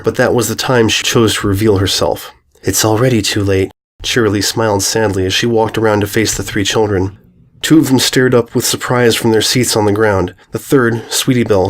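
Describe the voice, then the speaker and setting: A man's voice: fairly low pitch, brisk. Male storybook narrator.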